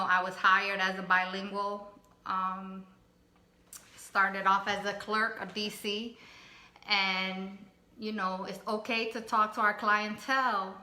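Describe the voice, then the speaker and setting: Soft-spoken woman, bright and echoey. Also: mid-range voice. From Connecticut. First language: Spanish. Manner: soft-spoken, unhurried, thoughtful with frequent fillers. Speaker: co-host. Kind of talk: casual personal talk. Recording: echoey room.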